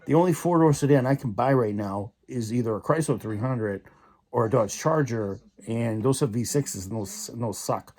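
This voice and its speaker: A man's voice: medium pitch, moderate pace, darkish tone. Rambling young man ranting. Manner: rambling, with many restarts and repeated "so". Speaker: vlogger. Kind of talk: casual opinion rant.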